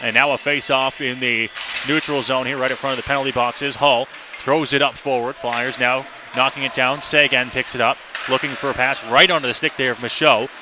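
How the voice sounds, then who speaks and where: A man's voice: mid-range voice, steady tone, brisk. A man doing live ice hockey play-by-play for radio, describing the action in clipped running phrases. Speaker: play-by-play announcer. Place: ice rink, live broadcast position. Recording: noisy live arena broadcast.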